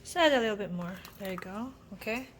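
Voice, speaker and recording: A woman's voice: mid-range voice, fast. A mother and channel host from the Philippines, chatting casually in her home kitchen and prompting her son with step-by-step questions. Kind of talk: casual home cooking chat. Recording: noisy home kitchen with clicks.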